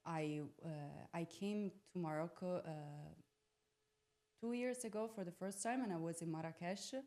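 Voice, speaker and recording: Lower-voiced young woman from Italy. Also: moderate pace, mellow. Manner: informal, searching for words, peppered with "like". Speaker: podcast guest. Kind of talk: casual personal reflection on living abroad. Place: podcast studio.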